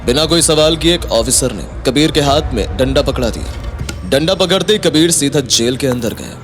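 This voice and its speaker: Male narrator, slightly high voice, dramatic storytelling read over prominent music. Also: normal speed. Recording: loud music behind the voice.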